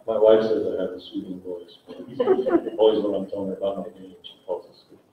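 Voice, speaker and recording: A man with a low, very even voice speaks haltingly at a candidate forum, dropping in fillers and poking fun at himself. He holds a handheld mic in a big community hall, heard from a distance with heavy room echo. The sound is thin and phone-like with faint hiss. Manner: hesitant, filler-heavy, self-deprecating. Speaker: political candidate. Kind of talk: answering a question at a public forum. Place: large community hall, standing.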